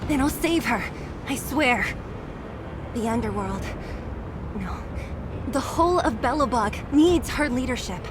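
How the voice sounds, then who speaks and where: A woman's voice: a little high, expressive, a little slow, long pauses, background noise. An actress playing a blunt, defiant, fiercely loyal young female fighter in a video game. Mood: resolute. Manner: blunt, forceful, determined. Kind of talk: dramatic video game voice acting. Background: music.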